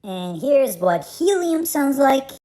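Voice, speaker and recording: A man speaking through a helium voice changer effect as a squeaky balloon voice in a tutorial. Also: big pitch swings, slow pace, warm tone, full character voice. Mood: demonstrating. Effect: helium pitch-up voice changer filter.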